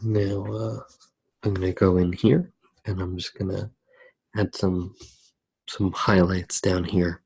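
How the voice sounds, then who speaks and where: A soft-spoken man narrating a drawing in ASMR style, hesitant and pausing mid-sentence. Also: sits low, little pitch movement, gravelly, breathy edge, rough recording. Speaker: ASMR art video host.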